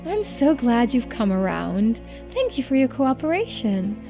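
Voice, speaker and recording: A woman acts out a genteel housewife who guards occult secrets in a story game. Her voice is high and lilting, her words formal and quietly persuasive, with clear music behind her. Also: big pitch swings, a little slow. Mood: politely pressing. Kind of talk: video game dialogue read aloud.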